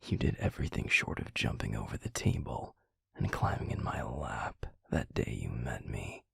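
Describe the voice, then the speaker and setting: A man playing a grumpy, blunt but secretly affectionate werewolf boyfriend in an intimate audio roleplay, in a breathy, gravelly murmur with deadpan delivery. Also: very deep voice, moderate pace, no echo, in a whisper, studio quality, exaggerated voice. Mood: sardonic teasing. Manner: deadpan, blunt, teasing grumbling. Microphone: close mic, dry.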